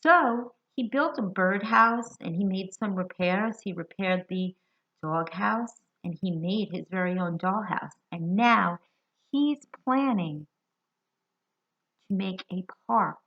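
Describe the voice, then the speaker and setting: An American woman reads a children's story at an unhurried, very slow pace. Her voice is bright and forward, with deliberate, expressive phrasing and questions aimed at young listeners. The recording is a little dull and lo-fi. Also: medium pitch. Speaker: children's hospital educator reading a picture book. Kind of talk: children's read-aloud storytelling. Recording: dull lo-fi sound.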